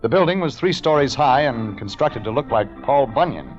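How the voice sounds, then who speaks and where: A man playing a laconic, wry private detective in a 1940s radio drama, terse and deadpan. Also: medium pitch, moderate pace, natural voice. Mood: deadpan narrating. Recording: muffled vintage radio recording, music behind.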